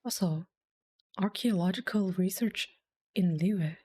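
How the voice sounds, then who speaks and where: Mellow woman musing over a game. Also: lowish voice, unhurried, breathy edge, no room sound, studio quality. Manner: informal, musing aloud. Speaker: streamer playing a video game. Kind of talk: casual play-along commentary.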